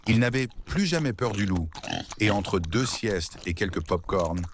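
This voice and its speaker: A man narrating a scripted children's story as storyteller, in an expressive, dramatic way. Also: lowish voice, measured pace, noisy background.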